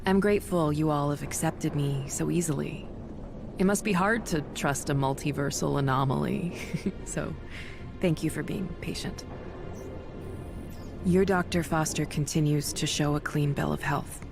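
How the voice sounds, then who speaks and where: An actress playing a battle-weary warrior goddess in a video game, resolute and wry, speaking in measured, theatrical, formal phrases. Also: female voice, sits low, gravelly, music in the background. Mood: sincere, reflective. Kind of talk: dramatic video game voice acting.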